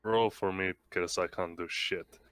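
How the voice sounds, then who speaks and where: A man's voice: deep voice, fairly even intonation, measured pace, fairly bright tone, smooth, no echo. A man talking casually and bluntly as a player in an online tabletop game. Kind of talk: casual chat, blunt swearing.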